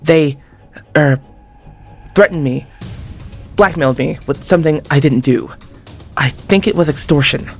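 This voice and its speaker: A woman's voice: deep voice, unhurried, long pauses, faint music behind. A woman voicing a nervous young male gamer in an audio drama, hesitant and stammering. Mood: flustered.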